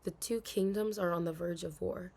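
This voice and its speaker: A woman's voice: on the low side, steady tone, normal speed, vocal fry, darkish tone. A woman playing a dutiful, anxious young princess in an intimate audio roleplay, speaking formally with hesitations and sighs. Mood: resigned, guarded. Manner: formal storybook diction, hesitant, sighing.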